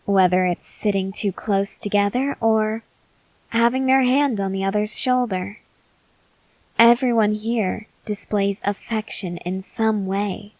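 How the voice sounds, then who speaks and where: Woman acting as possessive female vampire, calm, seductive, unhurried delivery, softly stern, close dry sound, ASMR roleplay. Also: medium pitch, no echo, rough recording. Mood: questioning, reproachful. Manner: slow, deliberate, softly stern, at times whispering.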